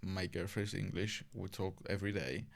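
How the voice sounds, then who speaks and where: A man's voice: sits low, flat delivery, normal speed, vocal fry. A young man from Italy with an Italian accent, hosting a casual podcast chat in a chatty, teasing way. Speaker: podcast host. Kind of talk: casual conversation.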